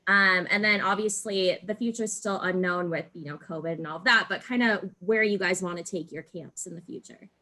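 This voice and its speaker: A woman's voice: fairly low pitch, a little fast, hardly any pauses, sharp tone. A woman hosting a podcast, asking casual, chatty questions in a loosely phrased way. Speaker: podcast host. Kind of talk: casual interviewing.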